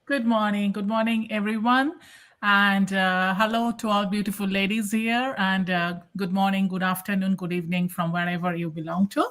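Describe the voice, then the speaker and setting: A woman from Kolkata, India introducing herself warmly on a live online call, with hesitant fillers. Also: mid-range voice, steady tone, normal speed. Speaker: panelist. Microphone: video call.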